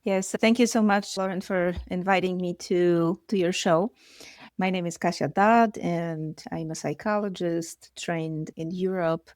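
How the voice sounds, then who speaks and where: A woman psychologist from Poland, speaking English with a Polish accent as a guest, giving earnest, measured explanations. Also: on the low side, steady tone, a little mellow, no echo, clean studio sound. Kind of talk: interview answers.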